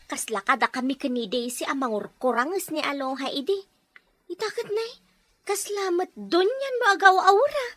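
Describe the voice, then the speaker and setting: A woman's voice: high-pitched, expressive, normal speed, a bit bright, no room sound. A woman playing an eager, chatty young single neighbour in a family drama, with an Ilocano accent. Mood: wistful. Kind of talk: acted family drama dialogue.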